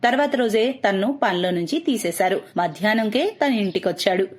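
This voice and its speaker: A woman's voice: mid-range voice, expressive, brisk. Woman narrating a cartoon moral story.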